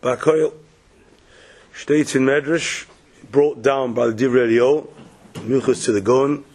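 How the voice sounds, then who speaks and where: A man with a cold, croaky, giving a morning Torah lecture as a rabbi, posing questions and answering them step by step. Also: mid-range voice, fairly even intonation, measured pace, a little mellow. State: has a cold.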